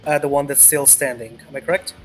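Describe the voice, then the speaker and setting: A man running a tabletop game, improvising narration haltingly and repeating phrases as he thinks. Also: medium pitch, steady tone, faint music behind. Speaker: game master.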